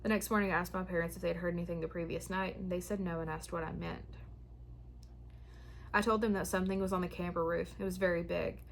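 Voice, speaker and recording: A young woman who hosts a spooky story channel, chatting frankly and then reading a listener's tale aloud, her voice starting to go hoarse. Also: lowish voice, fast talker, a little hiss or hum. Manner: chatty, frank and a bit frazzled when talking, steady when reading. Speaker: horror story channel host. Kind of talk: casual chat and reading listener stories aloud.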